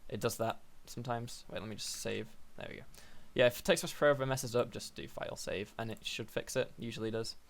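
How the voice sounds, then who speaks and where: A young man with a slightly hoarse, croaky voice talks through his coding at a middling pitch. He rambles casually, correcting himself as he goes and filling gaps with ums and likes, like someone recording his screen. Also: steady tone, brisk, hardly any pauses. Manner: thinking aloud, rambling with fillers and self-corrections. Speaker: programming tutorial host.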